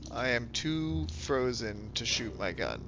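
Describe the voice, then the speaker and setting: A man's voice: expressive, slow, vocal fry, noisy background. A congested man who is losing his voice, chatting casually and self-mockingly as he streams a game. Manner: low-key, rambling, self-mocking. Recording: dull lo-fi sound with music behind. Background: music.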